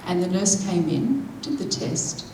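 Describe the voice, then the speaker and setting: A woman, interpreting a deaf speaker's keynote into spoken English in the first person, with a measured manner that draws in the audience. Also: lowish voice, lively intonation, warm tone, a little hiss or hum. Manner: measured, engaging the audience with questions. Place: large conference hall. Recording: echoey hall, voice picked up from a distance.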